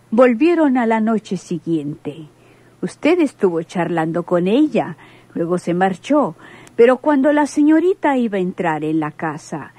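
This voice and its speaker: A woman playing a sober, respectful witness in a radio drama, recounting things in a careful, measured way. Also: mid-range voice, mellow, dry recording.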